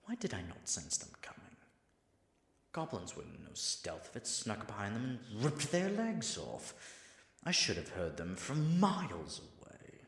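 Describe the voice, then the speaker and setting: A man playing a proud, flustered dragon in human form, secretly smitten with a knight, in a theatrical audio drama full of halting, muttered asides. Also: on the low side, huge pitch swings, gravelly, fairly bright tone, roomy sound, exaggerated voice. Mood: alarmed, haughty. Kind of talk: dramatic audio roleplay monologue.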